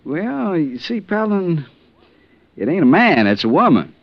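An actor playing a plain-spoken, harried middle-aged Texas Ranger captain in a 1950s radio western, talking in a folksy, drawn-out way. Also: male voice, fairly high pitch, sing-song, exaggerated voice. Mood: regretful. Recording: dull vintage radio recording.

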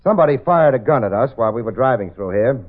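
An actor playing a brisk, matter-of-fact private investigator in a 1940s radio drama. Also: male voice, mid-range voice, animated, close to an everyday voice. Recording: tinny old radio broadcast.

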